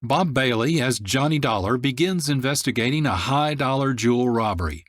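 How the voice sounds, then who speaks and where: A man's voice: medium pitch, lively intonation, moderate pace, no room sound. Male radio host, dry studio sound. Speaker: producer and host. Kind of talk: scripted radio presentation. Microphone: close, dry studio microphone.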